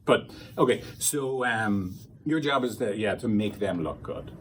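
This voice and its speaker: A man with a fairly low voice teaches a small group of therapists in a loose, rambling way. He keeps slipping into acted snippets of quoted conversation. Faint noise sits under the recording. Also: a little slow. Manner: rambling, animated, acts out quoted dialogue. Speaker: couples therapist trainer.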